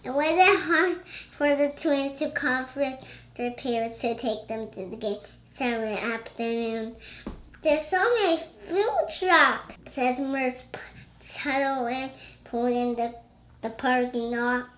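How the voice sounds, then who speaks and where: A young child reading a storybook aloud and chatting, stumbling haltingly over words. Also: high voice, a bit bright, roomy sound, a little hiss or hum. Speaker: young reader.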